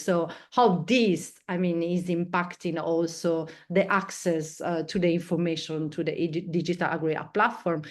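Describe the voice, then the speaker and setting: Woman moderator, lowish voice going hoarse, lots of uhs and ums. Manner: hesitant, many fillers and restarts. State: losing her voice. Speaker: webinar moderator. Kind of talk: moderating an online panel.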